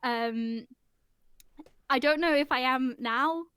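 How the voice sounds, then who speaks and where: A woman in her twenties with a high voice tells her story playfully, laughing along the way. The sound is close and dry. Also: takes it slowly, fairly bright tone, smooth, no room sound. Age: 20s. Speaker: hobby voice actor panelist. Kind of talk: casual panel chat.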